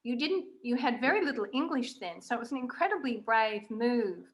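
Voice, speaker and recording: Higher-pitched woman interviewer, measured and reflective. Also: expressive. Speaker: interviewer, host. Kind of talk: conversational interview.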